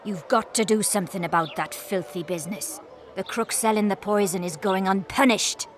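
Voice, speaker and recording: A woman's voice: medium pitch, normal speed, noisy background, close to an everyday voice. An actress playing an earnest townswoman in a fantasy video game, speaking formally. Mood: indignant, urging. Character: earnest, indignant female townsfolk. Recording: game music behind.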